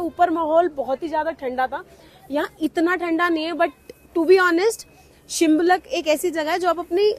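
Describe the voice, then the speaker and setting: High-voiced woman vlogging in Hindi-English mix. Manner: chatty, mixes English phrases into Hindi. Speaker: travel vlogger. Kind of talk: casual travel vlog talk to camera. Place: outdoors at a mountain cable car station. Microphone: handheld camera at arm's length.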